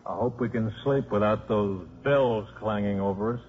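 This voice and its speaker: A man's voice: lowish voice, moderate pace, close to an everyday voice. An actor playing a scrappy, plain-spoken devoted father with blunt, colloquial delivery in 1950s radio drama style. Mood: gentle, resigned. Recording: muffled, hissy 1950s radio recording.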